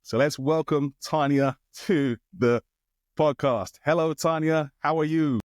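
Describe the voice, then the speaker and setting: A man's voice: a little high, steady tone, a little slow, no room sound. Male podcast host, friendly casual questions, dry close mic. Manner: friendly, leans on "you know" fillers.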